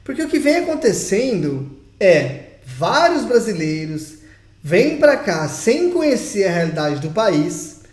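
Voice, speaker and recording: A man's voice: high-pitched, big pitch swings, slow pace. A young Brazilian man with a Brazilian Portuguese accent, talking in a chatty, emphatic vlog and speaking straight to his viewers. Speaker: vlogger. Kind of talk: casual opinion vlog. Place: home in Portugal. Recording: roomy echo.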